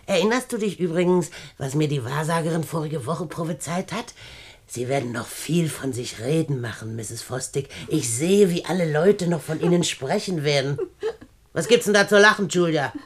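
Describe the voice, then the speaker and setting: Domineering, scolding older rich aunt. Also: female voice, low voice, expressive, moderate pace, husky. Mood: mocking, self-satisfied. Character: despotic rich older aunt, sharp-tongued, nagging, tyrannical. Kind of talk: German radio play acting.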